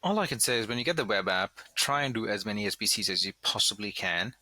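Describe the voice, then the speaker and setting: Bright, forceful low-voiced male podcast co-host. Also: expressive, quick pace, no echo. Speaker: podcast co-host giving game tips. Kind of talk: casual gaming advice chat.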